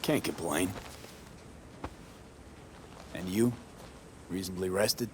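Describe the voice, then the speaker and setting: A man's voice: sits low, takes it slowly, a little hiss or hum. An actor voicing a wary, practical male mercenary in a video game who asks pointed questions about the plan. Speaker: game protagonist.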